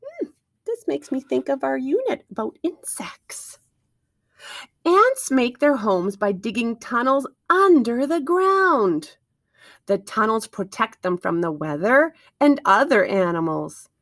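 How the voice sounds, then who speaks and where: A woman with a fairly high voice reads a picture book to young children in a very animated way. She speaks as a teacher would, carefully and expressively, putting questions to her listeners. The recording is close and dry. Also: takes it slowly, no echo. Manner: expressive, encouraging listeners with questions and wondering asides.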